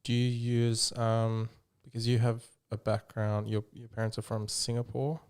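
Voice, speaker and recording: A man interviewing a guest in casual chat, reflective and halting, often restarting his sentences. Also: mid-range voice, level tone, darkish tone, smooth.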